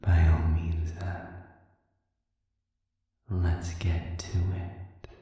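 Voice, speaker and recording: A performer voicing a sadistic male demon prisoner in an audio roleplay, speaking formally and sardonically with a taunting, manipulative silkiness that often sinks toward a breathy whisper. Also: deep voice, level tone, very slow, dark tone. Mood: silky, sardonic. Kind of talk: slow audio roleplay monologue addressed to listener. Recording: cavernous echoing reverb, distant and muffled.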